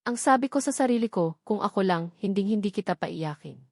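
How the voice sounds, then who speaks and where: A woman's voice: medium pitch, lively intonation, fairly quick, a little mellow, no echo, studio quality. A woman voicing a remorseful, tender man in an earnest, pleading way, with a Filipino accent, in a narrated romance story. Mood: regretful, tender. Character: remorseful, tender adult man confessing his love.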